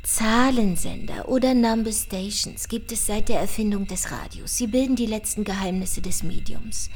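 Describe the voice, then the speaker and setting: A woman's voice: medium pitch, normal speed, darkish tone, soft background music, lightly acted. A woman playing a knowledgeable investigator in a radio play, explaining things in a didactic, digressive way. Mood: explanatory.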